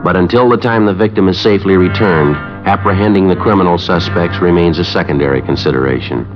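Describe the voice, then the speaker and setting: Low, deadpan radio detective played by a man. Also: fast, natural voice. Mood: matter-of-fact. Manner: terse, matter-of-fact, deadpan. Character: stoic male police detective narrating his case. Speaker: lead actor and narrator of a police radio drama. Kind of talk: 1950s radio acting, clipped scripted narration. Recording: muffled vintage 1950s radio recording with music.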